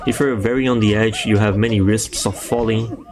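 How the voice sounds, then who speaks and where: A man's voice: on the low side, moderate pace, crowd noise. A young Brazilian man with a Brazilian Portuguese accent, a native Portuguese speaker, casually talking through a game speedrun tutorial and swearing now and then. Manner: relaxed, loose, swears casually, frequent fillers. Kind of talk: casual gameplay tutorial voice-over.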